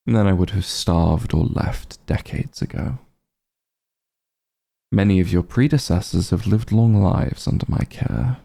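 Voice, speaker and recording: A man's voice: low voice, vocal fry, mellow, breathy edge, no echo, heavily acted. A man playing an ancient, aloof vampire patriarch in an ASMR fantasy roleplay, commanding yet honorable, speaking in formal, haughty, archaic phrasing.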